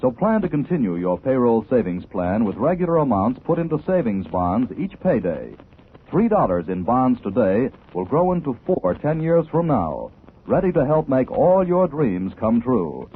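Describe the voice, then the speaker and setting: A man's voice: mid-range voice, very animated, measured pace. An American man announcing in formal, emphatic 1940s scripted radio style. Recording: narrow-band 1940s radio recording, faint noise.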